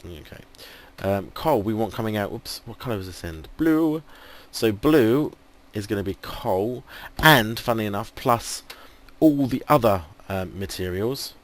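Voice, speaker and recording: A man's voice: lowish voice, animated, unhurried, few pauses. An English man commentating casually on his game, thinking aloud with many ums, while losing his voice. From England. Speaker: gaming video host. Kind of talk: casual let's play commentary.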